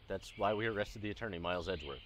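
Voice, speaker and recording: A man plays a timid rookie policeman in a game-based audio drama, set back behind clear background noise. His voice is fairly low. He is deferential and tends to stammer. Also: very fast, natural voice. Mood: nervous. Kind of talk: fan audio drama acting of a video game story.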